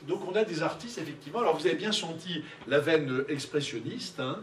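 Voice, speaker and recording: Higher-voiced man lecturing in an echoey room. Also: animated, fairly quick, slight background noise. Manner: conversational, peppered with tag questions. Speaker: art lecturer leading a discussion. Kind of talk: interactive spoken lecture. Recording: echoey room, distant mic, audience murmur.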